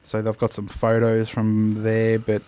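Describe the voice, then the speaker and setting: A man's voice: on the low side, monotone, unhurried, dark tone, clear, resonant tone. A man with an Australian accent hosts a casual review, explaining things in a hesitant way. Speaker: host of an app review show.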